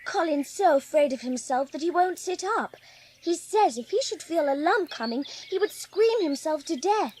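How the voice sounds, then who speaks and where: A woman's voice: high voice, expressive, normal speed, darkish tone, a little hiss or hum. A woman voicing a stiff, headstrong young girl, blunt and emphatic, in a dramatised audiobook. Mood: concerned, curious. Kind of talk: dramatised audiobook character acting.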